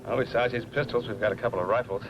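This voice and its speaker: Actor as fast-talking stranded researcher. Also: male voice, mid-range voice, steady tone, highs cut off, background noise, lightly acted. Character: practical male member of a stranded research team. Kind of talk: 1950s science fiction film acting. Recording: old film soundtrack, loud music over dialogue.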